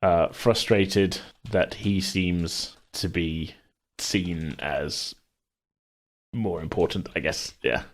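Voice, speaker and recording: Man, low pitch, casual brainstorming, halting, thinking aloud, tabletop podcast host. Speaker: tabletop role-play podcast host and game master.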